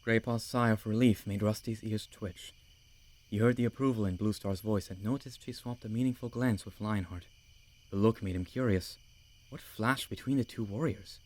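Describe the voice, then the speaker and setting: Male narrator for an animal adventure audiobook, fairly low pitch, scripted storytelling read. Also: normal speed.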